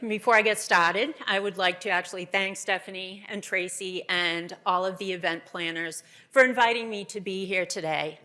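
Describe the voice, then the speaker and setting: A woman's voice: mid-range voice, steady tone, normal speed, hardly any pauses, pushed and bright. A middle-aged woman with a Boston accent, telling her story as a keynote speaker to an audience.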